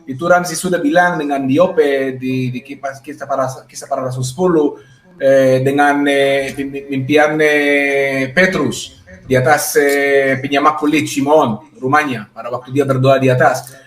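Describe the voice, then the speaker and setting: A man's voice: medium pitch, level tone, moderate pace. A man adding to a religious discussion, agreeable and hesitant, with many filler sounds and a few English words. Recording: echoey room.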